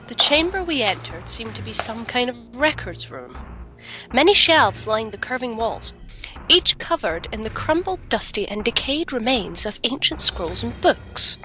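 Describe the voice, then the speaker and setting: A woman's voice: on the high side, sing-song, unhurried, bright, forceful tone, muffled recording, faint music behind, exaggerated voice. A woman playing a young adventurer in a fantasy audio drama, bookish and earnest, speaking and narrating in formal storytelling diction. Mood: solemn narration. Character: shaken but curious young woman adventurer, bookish, romantic.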